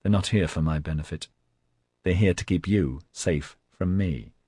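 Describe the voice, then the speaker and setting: Here is a man reading as a hard, confident woman in an audiobook. She talks low and curt, with a husky edge and cool, predatory control. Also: moderate pace, warm tone, no echo. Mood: curt menace.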